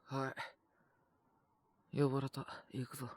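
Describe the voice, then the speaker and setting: A man's voice: mid-range voice, completely flat delivery, unhurried, darkish tone, lightly acted. A man voicing a sullen, possessive young wolf beastman with a cold, speaking in blunt, curt grumbles in an audio roleplay. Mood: curt, reluctant. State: sick with a cough, acted. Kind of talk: Japanese audio roleplay voice acting.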